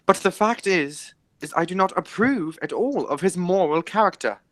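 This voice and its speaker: An actor playing a formal, dryly polite Victorian gentleman guardian in a radio comedy, speaking with measured emphasis. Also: mid-range voice, animated, no room sound, natural voice. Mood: firm, disapproving. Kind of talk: stage comedy as amateur radio play.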